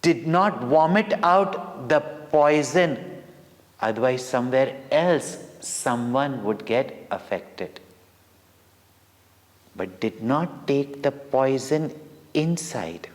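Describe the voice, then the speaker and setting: Slow-speaking Indian man in a reverberant hall. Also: mid-range voice, animated. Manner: deliberate, dramatic, with short pauses between phrases. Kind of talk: devotional storytelling for children. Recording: long reverberant hall echo.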